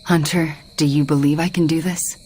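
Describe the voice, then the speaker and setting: Hushed, anxious young sorceress, lower register, unsure of herself; video game cutscene over light music. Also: female voice, fairly even intonation, normal speed, husky. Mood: anxious, hesitant. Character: anxious, self-doubting young sorceress apprentice.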